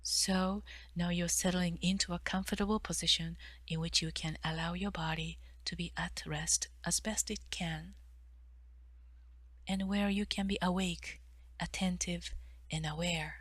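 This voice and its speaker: Woman softly guiding meditation, lowish voice. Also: steady tone, measured pace, dry recording. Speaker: meditation guide, therapist. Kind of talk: guided meditation narration.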